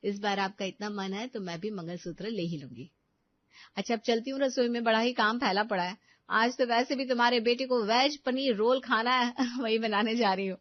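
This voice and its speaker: A woman puts on a middle-aged mother from a moral story cartoon. She is indulgent and doting in a fairly high voice. The audio is dry and a little dull. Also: moderate pace, dry recording, lightly acted.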